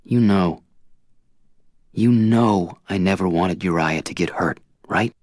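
A man's voice: sits low, takes it slowly, dry recording, clean studio sound. A man voicing a defensive young man arguing hotly with his girlfriend. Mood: earnest. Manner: heated, rising to shouting.

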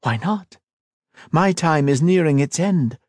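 A calm, coaxing elf woman who is secretly a monster, as a male narrator puts her on. The voice sits high for him and moves through a lively range, softly measured and persuasive. It is a dry, close storybook read. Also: dry recording.

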